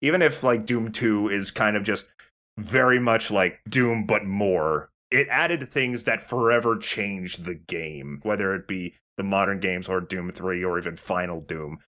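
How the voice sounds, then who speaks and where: A man hosting a let's play, giving casual gaming commentary in a halting, conversational way. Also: lowish voice, sharp tone.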